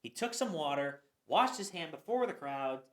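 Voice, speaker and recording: A man's voice: fairly high pitch, big pitch swings, normal speed. A man narrating scripture for a church radio play, reading earnestly and sometimes stumbling. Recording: echoey room.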